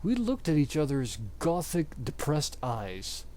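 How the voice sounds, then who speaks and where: A man performs a dramatic reading in a fairly high voice. His pitch moves widely and his pace is slow. The delivery is exaggerated and amateur, liable to stumble or crack up. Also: wildly swooping pitch, a little mellow. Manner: breaks into laughter and stumbles while reading. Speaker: amateur reader. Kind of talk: dramatic reading of fan fiction.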